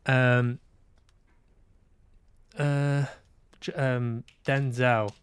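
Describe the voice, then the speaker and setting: A man's voice: medium pitch, level tone, drawn-out words, smooth, dry recording. A man chatting casually as he hosts a livestream, hesitant and musing aloud to himself. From Manchester, UK. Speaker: livestream host. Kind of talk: casual livestream chat while playing games.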